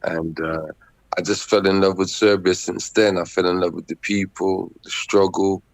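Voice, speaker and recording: A man's voice: sits low, flat delivery, moderate pace, no echo. A man, a musician guest chatting loosely and repeating himself on a remote call. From Netherlands. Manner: laid-back, repetitive, shouts out greetings. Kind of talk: casual interview chat.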